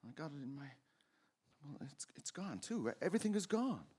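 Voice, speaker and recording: A man's voice: fairly high pitch, sing-song, brisk, a little mellow, husky. An actor playing a bewildered, exasperated family man in an old-time radio drama, stammering and urgent. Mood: frantic, exasperated. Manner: stammering, urgent, swinging from mutters to outbursts.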